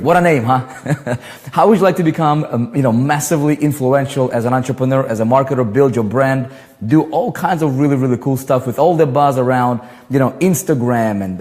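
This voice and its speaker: A man hosting an online show, talking with excitement and rambling through many fillers and restarts. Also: medium pitch, in a large hall. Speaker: host. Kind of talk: livestream chat and interview intro.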